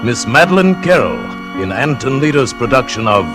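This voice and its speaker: A man's voice: mid-range voice, sing-song, moderate pace. A man with an American accent announcing formally and dramatically in 1940s radio style. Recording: old radio recording, loud music.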